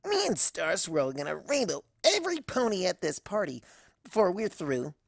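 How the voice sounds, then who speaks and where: Casting: woman playing a cocky tomboy cartoon pony, gravelly creak, wildly swooping pitch. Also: very animated, normal speed, no room sound, studio quality, exaggerated voice. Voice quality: scratchy. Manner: cocky, teasing. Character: brash, cocky young female pegasus pony.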